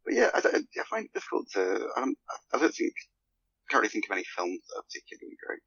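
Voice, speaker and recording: A man's voice: on the low side, wildly swooping pitch, quick pace, creaky, fairly bright tone, slightly rough, no room sound, highs cut off. A man chatting casually as a podcast guest, rambling a little hesitantly.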